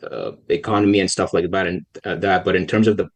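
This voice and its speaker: A young man from Pakistan, a policy researcher, answering questions in a casual podcast interview in a soft-spoken, hesitant way with many pauses. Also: low voice, steady tone, slightly rough.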